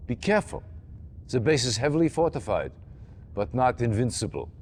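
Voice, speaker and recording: Grave older male general played by an actor, formal and authoritative, game briefing, mid-pitched, slightly noisy old audio. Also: sing-song, normal speed, darkish tone, lightly acted. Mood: urgent, commanding. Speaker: military commander. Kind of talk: dramatic video game cutscene acting.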